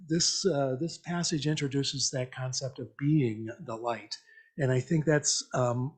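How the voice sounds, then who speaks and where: A man giving an informal, interactive church message in a conversational, reflective, halting way, often checking in with questions. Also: medium pitch. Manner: invites listeners with questions. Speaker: lay church speaker.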